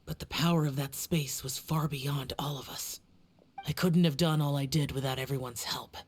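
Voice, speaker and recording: A woman voicing a solemn young male warrior guardian in a video game, speaking in a grave, measured, weary way. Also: very deep voice, fairly even intonation, breathy edge.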